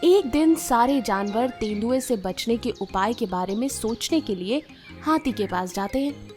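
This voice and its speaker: A woman's voice: fairly high pitch, animated, measured pace, music in the background. A woman giving scripted narration of a children's story.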